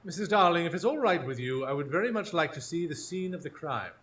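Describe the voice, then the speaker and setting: Guy doing victorian gentleman detective, precise n inquisitive, swoopy higher voice, radio play, faint background noise. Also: lively intonation, quick pace. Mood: polite, intent. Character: keen, self-assured Victorian gentleman detective. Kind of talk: live radio drama acting. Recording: live stage recording, faint ambient noise.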